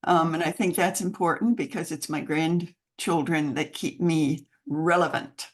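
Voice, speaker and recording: Enthusiastic older American woman, low voice. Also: unhurried. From United States. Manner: enthusiastic, expressive, laughs often. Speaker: guest, mentoring program founder. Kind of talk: podcast interview.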